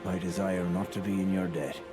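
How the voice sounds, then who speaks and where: A man playing a proud, dour, reserved warrior in a fantasy game, with stern and deliberate archaic speech. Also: low voice, level tone, over music, close to an everyday voice. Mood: gruffly wistful. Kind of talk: dramatic fantasy game dialogue.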